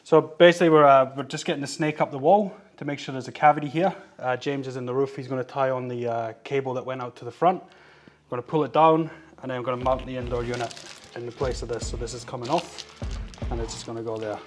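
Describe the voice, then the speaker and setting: A man's voice: medium pitch, steady tone, quick pace. Middle-aged tradesman with music behind him. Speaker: electrician explaining an installation. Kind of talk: casual on-the-job explanation to camera. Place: indoors in a house and at its front door.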